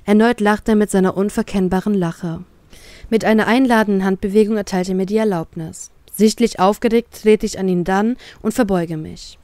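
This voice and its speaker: A woman's voice: medium pitch, steady tone, normal speed, warm tone, no echo. A woman narrating a scripted first-person story as an audiobook. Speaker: narrator of a fan fiction story.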